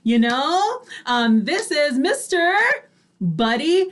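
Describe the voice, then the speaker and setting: High-pitched woman, chatty radio co-host. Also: big pitch swings, takes it slowly. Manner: chatty, agreeable, frequent "like" and "you know". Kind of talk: casual chatty co-hosting.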